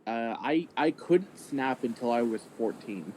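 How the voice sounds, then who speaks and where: Halting, mid-pitched man player. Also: lively intonation, warm tone, a little hiss or hum, low-quality recording. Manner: halting, restarts often. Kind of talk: casual chat.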